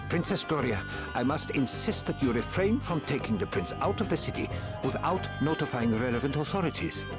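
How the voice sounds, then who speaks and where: An actor playing a stuffy, fretful royal official in a game, formal and officious. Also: male voice, medium pitch, lively intonation, brisk, over loud music, lightly acted. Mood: disapproving. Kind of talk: dramatic video game voice acting.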